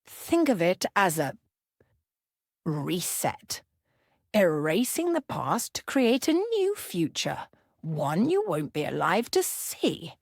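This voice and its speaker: Audio drama villainess, played by a woman: cold, condescending, unhurried delivery with swooping pitch and dry close sound. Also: huge pitch swings, gravelly, no room sound, full character voice. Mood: taunting threat. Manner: condescending, deliberate. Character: cold, menacing female villain, shadowy cartel leader. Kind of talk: audio drama thriller dialogue.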